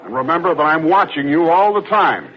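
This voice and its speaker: An actor playing a tense, protective husband, firm and wary, in an old-time radio thriller. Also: male voice, on the high side, big pitch swings, fairly quick. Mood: firm, guarded. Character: tense, protective husband trying to keep control. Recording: muffled old radio drama recording.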